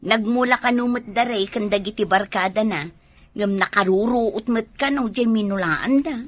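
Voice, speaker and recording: A woman's voice: medium pitch, moderate pace, natural voice. A woman with a Filipino Ilocano accent playing a teasing, gossipy village wife in an amateur comedy drama.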